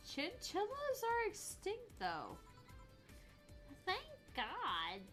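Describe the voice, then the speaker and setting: Brief: woman as a young, playful visual novel android, cheeky, high-pitched, bright, slow-paced. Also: wildly swooping pitch, faint music behind, heavily acted. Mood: cheeky, chatty.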